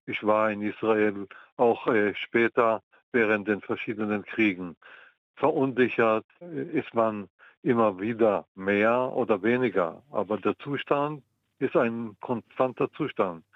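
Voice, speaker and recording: An older man from the Mandate of Palestine, speaking as a historian in an interview, measured and pausing often with ums. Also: fairly low pitch, no room sound. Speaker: guest historian. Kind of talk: interview answers. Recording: tinny phone line, dry.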